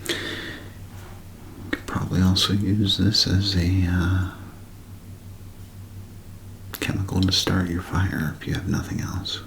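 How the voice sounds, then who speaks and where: A man doing a whispered ASMR show-and-tell, speaking in a hushed, unhurried way with gentle pauses. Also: sits low, level tone, creaky, a little hiss or hum. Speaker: ASMR creator.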